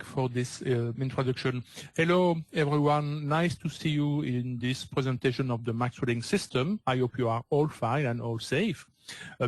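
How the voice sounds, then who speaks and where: A man's voice: medium pitch, no echo. Older belgian guy, french accent, lots of uhs, dry close mic on a webinar. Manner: hesitant, frequent uh fillers, non-native phrasing. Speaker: trading coach presenting a webinar. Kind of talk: webinar presentation.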